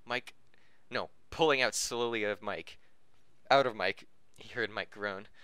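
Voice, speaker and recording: A man reads a story aloud for a podcast in a bright, forceful voice. He sounds informal and stumbles into corrections and joking asides. The sound is dry, with little room on it. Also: fairly low pitch, unhurried, clean tone, no echo. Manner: breaks off to laugh, joke and correct misreadings. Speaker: podcast host reading fan fiction aloud.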